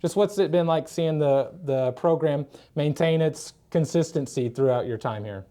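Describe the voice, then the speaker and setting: Male sports media host. Slightly high, soft-edged voice, conversational questions with frequent restarts. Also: moderate pace. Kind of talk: sports interview hosting.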